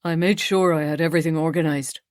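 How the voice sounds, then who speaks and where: Woman lowering her voice for a forties man, calm, apologetic, guarded, deeper than her own voice. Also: fast talker, no room sound, studio quality, lightly acted. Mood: detached.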